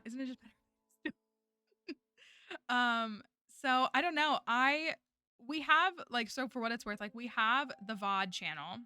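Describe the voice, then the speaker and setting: A woman's voice: medium pitch, pushed and bright. A young woman streamer, chatting casually and rambling with lots of fillers and restarts. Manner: rambling, filler-heavy, frequent restarts and laughs. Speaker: streamer and online teacher. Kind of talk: casual livestream chat. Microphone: headset or desk mic. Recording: music bed playing faintly underneath.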